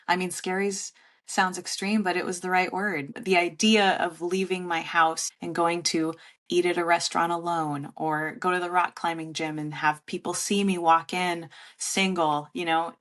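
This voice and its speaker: A woman with a slightly low voice talks openly about her own past in a podcast interview. She is candid and self-deprecating, often breaking into laughter. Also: steady tone, moderate pace, a bit bright. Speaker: guest, relationship author.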